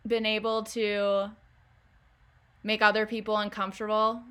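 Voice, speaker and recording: A woman's voice: mid-range voice, flat delivery, unhurried, pushed and bright, smooth. A woman hosting a casual podcast interview, chatty and playful, laughing between her questions. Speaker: podcast host.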